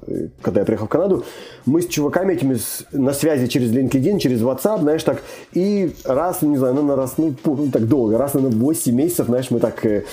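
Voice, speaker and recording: Fast-talking man with a dark voice. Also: medium pitch. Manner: rambling, many fillers and restarts. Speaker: immigrant guest. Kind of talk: casual conversation.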